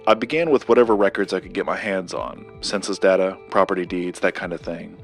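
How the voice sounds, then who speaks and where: A low voiced grumbly collector type, bookish n obsessive, dry podcast drama delivery, bit of music behind. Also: male voice, a little fast, gravelly. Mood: earnest, measured. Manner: dry, skeptical, precise wording. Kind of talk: naturalistic scripted audio drama dialogue.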